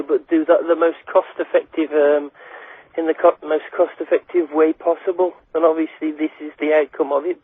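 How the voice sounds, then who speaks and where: A man's voice: fairly high pitch, slightly rough, dry recording. Young Englishman on a tinny phone line. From England. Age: young adult. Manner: hesitant, repeats words and adds "like" and "you know". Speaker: radio listener giving his reaction by phone. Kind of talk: casual chat. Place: phone call. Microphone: telephone.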